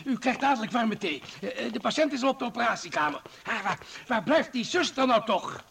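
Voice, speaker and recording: On a crackly old recording, a frantic hospital worker in a radio drama. His extremely high, swooping voice creaks as he stammers and fusses. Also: expressive, a little fast, fairly bright tone, full character voice. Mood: flustered. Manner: stammering, fussy. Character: flustered, frantic hospital man hurrying everyone along. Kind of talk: radio play acting.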